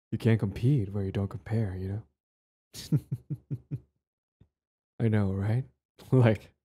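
A man's voice: fairly low pitch, mellow, slightly rough. A young man acting a cocky, possessive and smitten bully in an audio roleplay spoken to the listener. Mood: cocky, amused. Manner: stammering, swaggering, profane.

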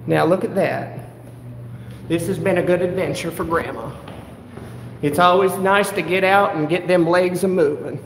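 A meddling old grandma, as a man puts her on, sweet-talking everyone as darling and calling herself Grandma. The voice sits high for a man and crackles with gravelly fry. It is caught on a handheld phone inside a public building. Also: moderate pace. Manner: syrupy, calls everyone darling, talks of self as Grandma. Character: meddling sugary old grandma, elderly woman. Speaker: livestreamer filming public buildings. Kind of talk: trolling livestream banter. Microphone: handheld phone camera.

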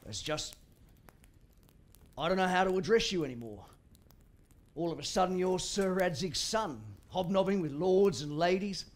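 A cheeky young guardsman with a high voice, chatty and self-mocking as he teases his friend. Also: expressive, normal speed, pauses often. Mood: teasing.

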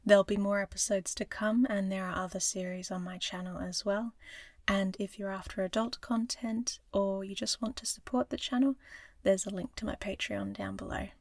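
A woman introduces her audio series as its creator, in a scripted channel intro. Her soft voice is husky, with some creak at the edges. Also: mid-range voice, fairly even intonation, normal speed, no room sound.

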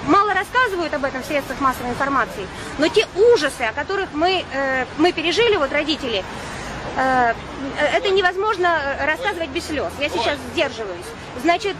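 A woman in her forties, born in Ukraine and raised in Russia, giving an urgent, insistent speech at a street picket and often pausing on drawn-out "uh" fillers. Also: extremely high pitch, background noise. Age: 40s. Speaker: protester at a picket. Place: outdoor street picket beside traffic.

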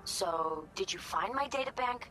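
A woman's voice: mid-range voice, expressive, a little slow, pushed and bright, soft background music, poor audio. A woman playing a guarded, uneasy corporate professional in a video game, clipped and evasive in her speech. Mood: businesslike. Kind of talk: naturalistic video game voice acting.

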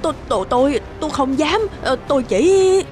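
A woman playing a frightened, greedy middle-aged village woman in an animated fairy tale, stammering and pleading. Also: high-pitched, normal speed, music in the background. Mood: panicked.

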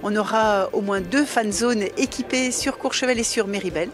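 A woman in her fifties, an event organizer, giving an outdoor interview into a handheld mic, measured and halting with frequent hesitations. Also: mid-range voice. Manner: measured, many hesitations and restarts. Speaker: organizing committee director. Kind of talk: on-camera interview.

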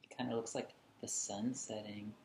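A man in his thirties reading a picture book to young children expressively, with emphatic, deliberate delivery and explanatory asides. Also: lowish voice, little pitch movement, gravelly, a little mellow, slight background noise. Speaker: children's read-along host. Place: small indoor room at home. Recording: echoey room, camera at a distance.

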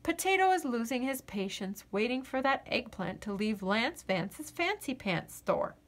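A woman reading a children's picture book aloud in an expressive, playful way. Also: a little high, measured pace. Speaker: storybook reader.

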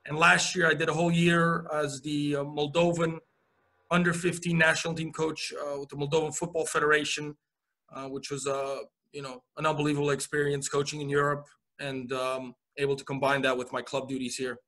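Man, forties, from Romania, soccer coach, bright clear tone, video-call answers full of uh and um. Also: on the high side, a little fast. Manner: relaxed storytelling with many uh fillers. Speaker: college women's soccer coach. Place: indoor office.

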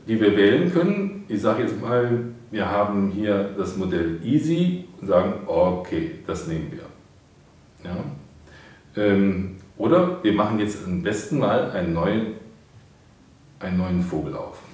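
Middle-aged male reviewer, a bit low, casual step-by-step demo; far-off mic in a very echoey room. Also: mellow. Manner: informal, explains step by step to viewers. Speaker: product reviewer. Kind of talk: casual product demo for hobbyists. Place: indoor studio desk with painted backdrop.

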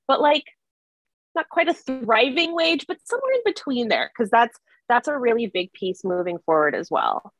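A middle-aged woman talks as a panelist in an online discussion. Her voice is medium in pitch with very little room echo, and her delivery is informal and conversational. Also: fairly bright tone. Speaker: expert panelist, environmental program director. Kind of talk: casual, conversational panel talk. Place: indoor room on a video call. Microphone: video call.

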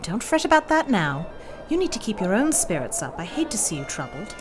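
A woman playing a doting, anxious middle-aged gentlewoman, a mother, in a radio play. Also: medium pitch, sing-song, brisk, close to an everyday voice. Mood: anxious, soothing. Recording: music behind the voice.

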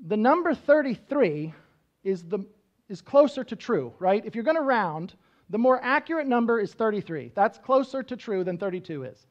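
High-voiced man preaching in conversational style. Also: sing-song, moderate pace, dull audio. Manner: conversational teaching, frequent "right?" check-ins; walks around the stage gesturing. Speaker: pastor. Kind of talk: sermon. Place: church stage with band instruments.

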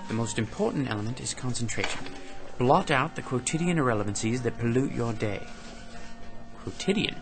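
An actor playing an obsessive office clerk who mutters to himself over a book and builds to manic fervor. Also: male voice, mid-range voice, animated, a little fast, natural voice. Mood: absorbed. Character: obsessive middle-aged male office clerk. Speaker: lead actor in radio drama. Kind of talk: radio drama acting. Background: music.

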